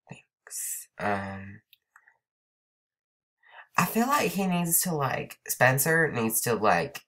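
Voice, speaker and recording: A woman's voice: very deep voice, a bit bright. A young woman hosting a let's play, chatting casually over gameplay. She has a cold and sounds stuffed up, and she rambles with lots of "like" and "um". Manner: chatty, rambling, says "like" and "um" often.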